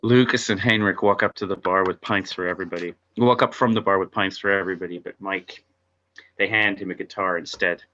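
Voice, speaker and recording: A man narrates stage directions at a table read. His voice is fairly low but bright and forceful. The recording is somewhat lo-fi. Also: steady tone, brisk.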